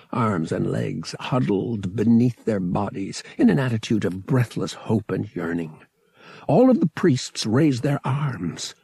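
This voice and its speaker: A man narrating fiction as an audiobook reader, with an expressive, theatrical storytelling manner. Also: on the low side, vocal fry, breathy edge, no echo. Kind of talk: dramatic scripted fiction narration.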